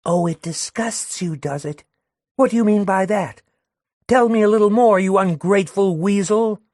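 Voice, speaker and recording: A man's voice: moderate pace, a little mellow, no echo, clean studio sound. A man voicing a furious young working-class woman who rails and jeers with scorn. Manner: shrill, jeering, railing.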